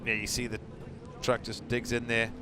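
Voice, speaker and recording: Male color commentator, mid-pitch voice, relaxed chatty banter, engine noise behind. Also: normal speed. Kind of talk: live motorsport commentary. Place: outdoor race track. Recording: engine noise from outdoor track. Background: racing trucks and engines.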